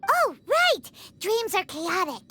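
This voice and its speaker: A woman voicing a tiny, excitable, easily confused fairy companion in a video game, with a squeaky childlike voice. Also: takes it slowly, heavily acted. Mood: curious. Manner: exclaiming, refers to self in third person. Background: loud playful game music.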